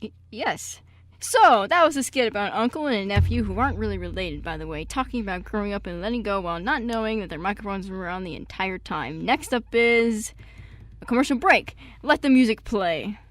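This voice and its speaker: A woman's voice: mid-range voice, expressive, a little fast, no echo, natural voice. Woman as a fussy, protective older uncle, dry sound. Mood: flustered. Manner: fussy, exasperated delivery. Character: prim, protective, easily flustered older uncle. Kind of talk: amateur radio play acting.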